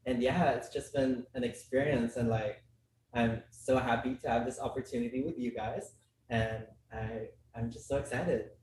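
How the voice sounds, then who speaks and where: A man's voice: medium pitch, fairly even intonation, normal speed, darkish tone. Young Filipino man, podcast guest, easygoing, lots of fillers; roomy, distant mic, faint hiss. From Philippines. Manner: chatty, many fillers and restarts, laughs often. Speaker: guest, business school student. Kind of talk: casual podcast conversation.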